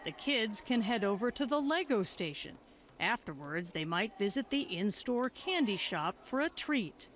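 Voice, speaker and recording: A woman's voice: mid-range voice, very animated, unhurried, a bit bright, a little hiss or hum. An American woman working as a news correspondent, reading scripted broadcast narration and putting questions in interviews.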